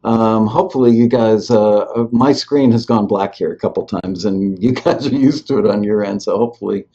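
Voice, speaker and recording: A man's voice: on the low side, moderate pace, mellow, highs cut off. A man hosting a live web show in a casual, rambling, jokey way. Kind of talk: casual live interview chat.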